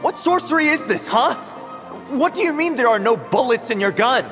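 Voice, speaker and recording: An overdramatic, whining knight trainee from a video game. The voice is piercing and swoops in indignation. A long reverb trails it, with music behind. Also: male voice, extremely high pitch, lively intonation, moderate pace, a bit bright. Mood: indignant. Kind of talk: animated video game voice acting.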